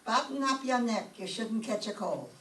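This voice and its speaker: A woman's voice: a little high, lively intonation, normal speed, a bit bright. Woman playing a Yiddish-accented fussing mother, roomy stage. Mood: fussing, affectionate. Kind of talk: old-time radio comedy acting. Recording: roomy stage pickup, distant mic, faint noise.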